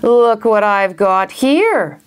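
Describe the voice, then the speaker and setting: A woman's voice: mid-range voice, expressive, slow, smooth. A woman voicing a scheming male mad scientist villain in a storybook, theatrical and menacing. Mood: menacing relish.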